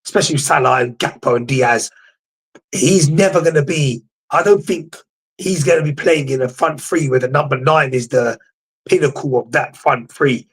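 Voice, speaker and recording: A man's voice: medium pitch, moderate pace, husky, low-quality recording. A young man, a guest pundit in a casual football debate, speaking with confidence and saying "bro" constantly. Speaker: guest pundit, podcast host.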